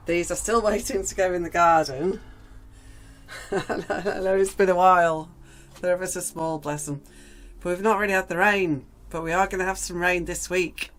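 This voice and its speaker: A woman vlogging casually from her garden greenhouse, her voice croaky after a cold, chatting in a rambling way with frequent ums. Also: fairly low pitch, steady tone, normal speed, a bit bright. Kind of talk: casual gardening vlog chat.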